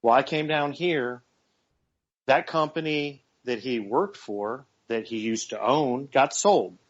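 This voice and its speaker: A man in his forties talks on a casual radio show at an average pitch, earnest and often doubling his words as he builds a point. The audio is a bit dull and lo-fi. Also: slow pace. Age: 40s. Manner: earnest, repeats words while gathering thoughts. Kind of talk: casual talk radio chat.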